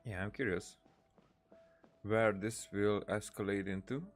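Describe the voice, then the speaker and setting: A man giving casual, halting gameplay commentary, muttering asides and reading dialogue options aloud. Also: on the low side, steady tone, faint music behind. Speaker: gaming streamer.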